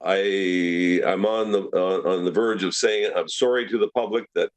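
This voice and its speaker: A man's voice: low voice, fairly even intonation, moderate pace. A man making formal remarks at a meeting as a city councillor.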